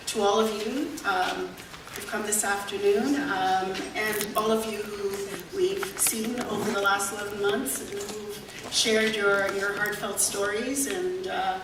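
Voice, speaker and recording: A woman's voice: medium pitch, recorded from a distance. Courteous woman moderating in an echoey hall. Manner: courteous and thankful, keeps the session moving. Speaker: moderator of a public meeting. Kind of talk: moderating a question session. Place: large echoey meeting room. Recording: echoey hall audio from afar with noise.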